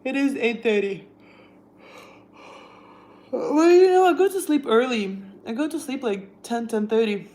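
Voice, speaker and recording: A woman's voice: a little high, lively intonation, unhurried, pauses often, dark tone. A young woman from Russia with a Russian accent, chatting casually on a livestream and answering viewers' comments. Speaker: livestream host.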